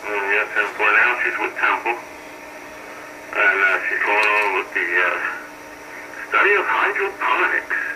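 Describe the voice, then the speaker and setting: A man's voice: on the low side, sing-song, measured pace, pauses often. A middle-aged man chatting casually over two-way radio in operator lingo, with an easygoing, folksy manner. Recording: distant, very noisy two-way radio signal.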